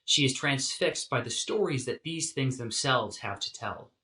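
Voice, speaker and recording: A man giving a scripted philosophy lecture in a measured, deliberate way. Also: mid-range voice, animated, pushed and bright. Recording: roomy echo, distant from mic.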